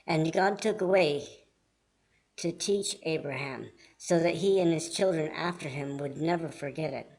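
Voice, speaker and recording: A man reading scripted Bible storybook narration in a measured, earnest manner. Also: fairly high pitch, creaky, darkish tone.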